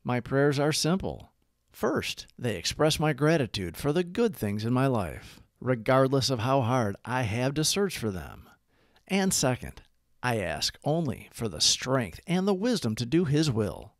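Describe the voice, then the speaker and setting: A man narrating a scripted daily recovery reflection as a devotional read-aloud, with measured, emphatic phrasing. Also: mid-range voice, sing-song, dry recording, clean studio sound.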